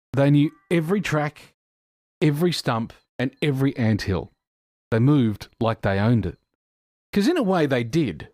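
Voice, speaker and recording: A man narrating a scripted audio drama in a deliberate, dramatic manner. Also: medium pitch, darkish tone, dry recording, studio quality.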